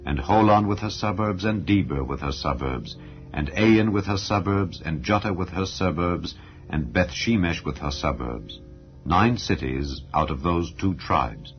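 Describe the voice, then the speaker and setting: Male audiobook narrator, deep register, formal declamatory scripture read, dull lo-fi sound. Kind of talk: solemn scripted scripture reading. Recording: dull lo-fi audio over clear music. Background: background music.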